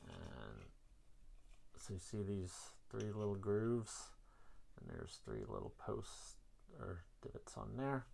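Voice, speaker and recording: A man giving a casual, hands-on gear demonstration in an informal, rambling, self-deprecating way, with a gravelly, husky voice. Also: on the low side, huge pitch swings, slow pace, dark tone, a little hiss or hum. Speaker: vlog host.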